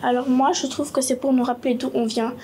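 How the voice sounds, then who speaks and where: A young woman from Senegal speaks plainly in a class book discussion. Her voice is high and flat, with a faint hiss behind it. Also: fairly quick.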